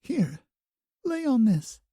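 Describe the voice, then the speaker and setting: An audiobook narrator voicing a young woman who is crying, anguished and shrill. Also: sing-song, slow pace, dark tone, husky, no room sound, clean studio sound, full character voice. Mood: protective. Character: young human woman, tearful, fierce.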